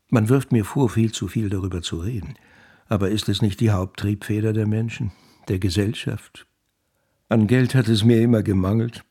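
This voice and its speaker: A money-troubled author in a radio play, low and husky. He muses in a confessional, reflective way. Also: a little mellow. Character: debt-plagued male author musing on money. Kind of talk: German radio drama monologue.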